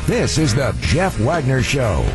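A man's voice: medium pitch, big pitch swings, unhurried. A man reading a produced radio show introduction in an announcer style. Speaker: station announcer. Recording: announcer read over music bed.

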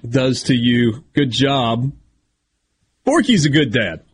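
A man's voice: medium pitch, sing-song, slow, slight background noise. A man hosting a sports radio show, chatty and self-deprecating, with a big voice. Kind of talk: casual sports radio talk.

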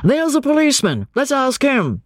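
A woman's voice: high voice, huge pitch swings, normal speed, no echo, clean studio sound, pitched above the performer's own voice. A woman playing a curt, urgent asylum keeper. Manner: urgent, commanding.

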